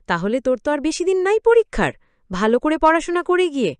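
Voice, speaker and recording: A woman's voice: high-pitched, big pitch swings, a little fast, a little mellow, no echo, pitched above the performer's own voice. A woman voicing a sorrowful, secretive but affectionate aunt in a narrated story, reflective and confiding. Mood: caring, evasive. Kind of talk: acted dialogue in story narration.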